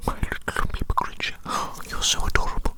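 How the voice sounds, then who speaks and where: A performer voicing an obsessive, doting evil doctor in a breathy ASMR whisper, musing and repeating phrases. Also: fairly quick, natural voice.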